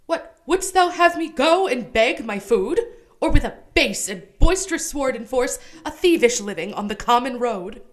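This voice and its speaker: A woman playing a proud, tender young gentleman in a Shakespearean radio play, speaking verse earnestly. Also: high voice, animated, moderate pace. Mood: startled, indignant.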